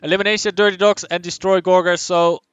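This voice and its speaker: A young man with a Dutch accent streams a game and chats with his viewers. His voice sits a little high and sounds smooth and clear. The close, dry mic keeps his casual, think-aloud commentary right up front. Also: flat delivery, fast, no room sound. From Netherlands. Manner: casual, chatty, answers chat aloud, thinks out loud. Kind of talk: live gameplay commentary, reading chat.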